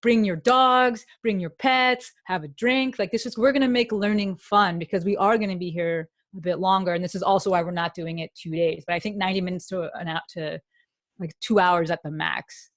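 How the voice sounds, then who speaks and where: A woman's voice: fairly low pitch, steady tone, brisk, dry recording. Woman co-host, dry close sound, easygoing explainer. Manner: agreeable, explanatory. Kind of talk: casual live-stream chat.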